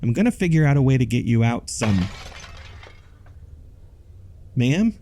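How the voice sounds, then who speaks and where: A man's voice: mid-range voice, big pitch swings, brisk, dark tone. A man playing an earnest, obsessed amateur investigator recording himself on location in an audio drama. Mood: urgent, bewildered. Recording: noisy on-location podcast field recording.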